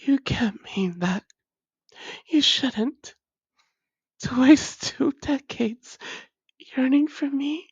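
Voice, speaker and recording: A woman's voice: sits high, animated, drawn-out words, pauses often, slightly rough, dry recording, lo-fi sound, full character voice. A woman voicing a doting, long-lost vampire lover in an intimate audio roleplay, speaking tenderly in formal, old-fashioned phrases and often slipping toward a whisper. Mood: pained, moved. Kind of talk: intimate romantic audio roleplay, slow and breathy.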